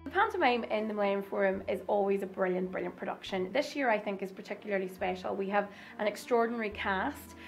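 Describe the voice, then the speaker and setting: Thirties actress in a dressing room, a bit gravelly, music under it, gushing about the show. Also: female voice, mid-range voice, fast talker, hardly any pauses. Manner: warm, enthusiastic, with fillers. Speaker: actress playing the fairy godmother. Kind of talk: backstage interview.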